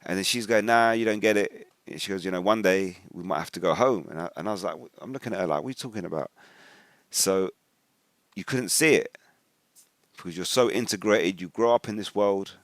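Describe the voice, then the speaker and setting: A man's voice: sits low, a little fast, no echo, studio quality. A man from England with an English accent gives informal religious commentary in colloquial, slangy speech, often acting out what other people say. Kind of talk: informal spoken commentary with personal anecdotes.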